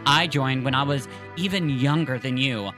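A podcast host voicing a calm young soldier who speaks gently and earnestly. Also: mid-range voice, normal speed, over music, lightly acted. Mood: pleading. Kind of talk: amateur read-aloud scene.

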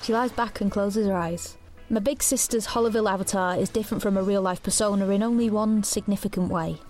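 A woman's voice: medium pitch, steady tone, brisk, a little mellow, natural voice. A woman acting as an anxious young woman in a dystopian audio drama. She narrates and speaks intensely, confiding in the listener. Music plays behind her. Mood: matter-of-fact.

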